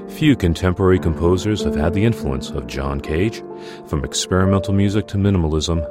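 Male documentary narrator, very low register, scripted delivery, music bed underneath.

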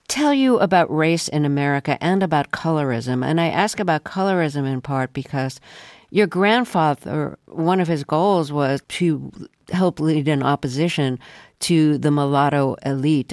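A woman hosting a radio interview, asking calm, probing questions with frequent pauses and ums, from a studio. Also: deep voice, dry recording.

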